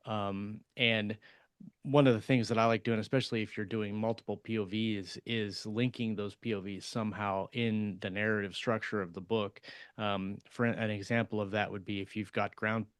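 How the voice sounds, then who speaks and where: A man's voice: lowish voice, steady tone, moderate pace, clean tone, no echo, studio quality. A man co-hosting a podcast, casually explaining writing craft and repeating words as he thinks. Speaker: podcast co-host.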